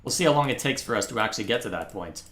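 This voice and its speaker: A man's voice: on the low side, animated, very fast, fairly bright tone, reverberant. A man from Texas hosting a live game stream, thinking aloud in a casual, musing way. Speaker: livestream host playing a game. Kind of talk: casual live gameplay commentary.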